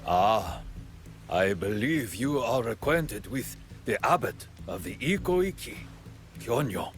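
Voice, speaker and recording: Grave older leader, game drama, measured, deep, slow. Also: male voice, animated, soft background music. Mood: solemn, regretful. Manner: measured, solemn, deliberate. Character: grave, regretful older father and religious leader. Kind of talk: video game voice acting.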